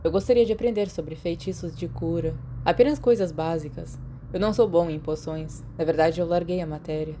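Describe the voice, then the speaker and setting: A Brazilian woman narrator voicing a polite, modest, hesitant teenage boy in an audiobook, soft-spoken and tentative. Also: lowish voice, fairly quick, lightly acted. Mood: shy, earnest. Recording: clearly noisy background.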